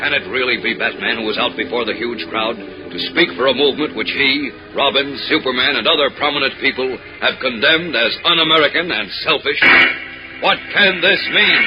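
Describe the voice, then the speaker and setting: Dramatic male narrator over loud music. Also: a little high, poor audio. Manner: suspenseful, theatrical. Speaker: serial narrator. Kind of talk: dramatic 1940s radio narration. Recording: old 1940s radio, loud music behind.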